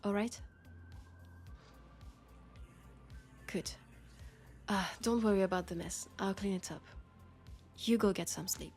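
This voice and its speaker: A woman with a French accent playing a weary, guarded policewoman confiding quietly and hesitantly in an intimate sci-fi audio roleplay. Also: mid-range voice, normal speed, faint music behind, lightly acted. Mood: gentle, apologetic.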